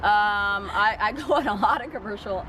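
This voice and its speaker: A woman's voice: medium pitch, normal speed. A woman in her forties, an actor chatting in a casual interview outdoors, talking with many fillers and restarts. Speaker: guest actor. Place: outdoor patio with plants. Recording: outdoor traffic noise behind.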